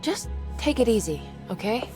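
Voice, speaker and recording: A woman's voice: on the high side, very animated, moderate pace, music in the background. An actress playing a concerned, determined young woman in a gothic horror game, speaking in an earnest manner. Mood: worried, hushed. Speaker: video game voice actor. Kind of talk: dramatic game cutscene acting.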